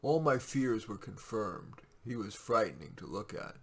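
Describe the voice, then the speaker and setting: A man with a raspy, gravelly voice reads his own short stories aloud in a measured, expressive way, with casual asides. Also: on the low side.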